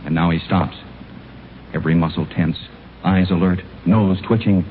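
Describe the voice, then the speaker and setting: A man's voice: low-pitched, steady tone, moderate pace, slight background noise. A man working as a 1940s radio announcer, delivering scripted lines with dramatic, polished emphasis. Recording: thin, narrowband old radio recording.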